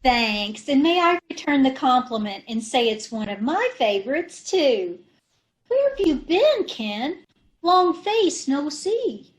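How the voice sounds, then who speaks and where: A giddy, high-voiced housewife, played by a woman, who twists every saying without noticing. She swings her pitch around in an unhurried, sing-song way, straight out of 1930s radio comedy. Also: big pitch swings, full character voice. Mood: chirpy. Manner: malapropisms, chirpy and sure of herself. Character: scatterbrained young wife mangling her words. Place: home over a video call.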